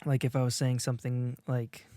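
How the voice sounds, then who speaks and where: A man's voice: mid-range voice, little pitch movement, normal speed, warm tone, dry recording. A young man, co-host of a podcast, rambling through a casual, self-mocking comedic monologue full of restarts.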